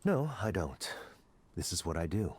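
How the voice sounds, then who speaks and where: A man playing a confident, coldly intellectual tech executive in a visual novel, lecturing in a deliberate, persuasive way. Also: low voice, big pitch swings, darkish tone, slightly rough, heavily acted. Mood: intense, philosophical.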